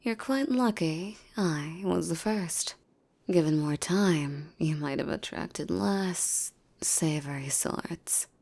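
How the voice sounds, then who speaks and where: A woman playing a possessive, menacing female demon in an intimate roleplay, speaking formally and commandingly, coaxing and often breathy. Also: low-pitched, takes it slowly, darkish tone, full character voice. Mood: seductive, coaxing. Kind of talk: roleplay ASMR acting, intimate monologue.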